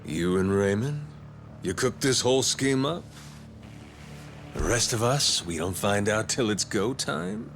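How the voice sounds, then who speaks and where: An actor playing a brash, defiant yakuza pirate captain in a video game, blunt and rough-talking. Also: male voice, on the low side, big pitch swings, measured pace, faint music behind, exaggerated voice. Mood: wary, grudging. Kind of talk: dramatic video game cutscene acting.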